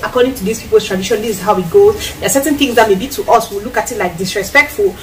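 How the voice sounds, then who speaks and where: A woman's voice: mid-range voice, fast talker, far from the mic, slight background noise, low-quality recording. A woman in her thirties with a Cameroonian accent, chatting casually to camera while she cooks in a small tiled kitchen. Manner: animated, lots of hand gestures and acted-out quotes. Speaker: vlogger. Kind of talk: casual chat while cooking. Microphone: camera a few feet away.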